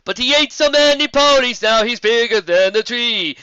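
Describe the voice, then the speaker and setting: A man voicing a mysterious female zebra bard who sings her warnings in slow, drawn-out rhyme, on a dull lo-fi recording. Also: extremely high pitch, bright, forceful tone, clean tone, dry recording, pitched above the performer's own voice. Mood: ominous singing. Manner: sung, rhyming and slow.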